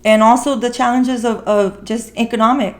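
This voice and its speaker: A woman's voice: a little high, fairly even intonation, moderate pace, a little mellow. A woman hosting a podcast, chatting casually and earnestly, with an encouraging way of repeating phrases for emphasis. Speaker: podcast host. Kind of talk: casual podcast conversation about a film.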